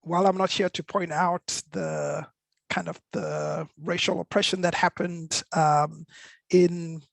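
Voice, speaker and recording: Higher-pitched man, gravelly, even intonation. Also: slow, dry recording. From Zimbabwe and South Africa. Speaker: panelist. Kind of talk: personal introduction in a panel discussion.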